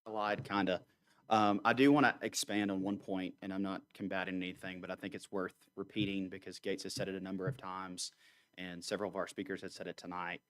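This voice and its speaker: Man, lowish pitch, earnest, deliberate, public comment. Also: little pitch movement, a bit bright. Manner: earnest, measured argument with deliberate slow stretches. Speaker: community organization leader speaking at council meeting. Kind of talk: public comment at a city council meeting.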